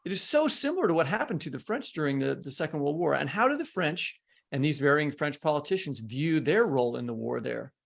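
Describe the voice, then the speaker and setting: A man's voice: on the high side, animated, fast talker, gravelly. A man with an American accent hosting a podcast, asking questions in a conversational way. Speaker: podcast host, interviewer. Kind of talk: conversational interviewing.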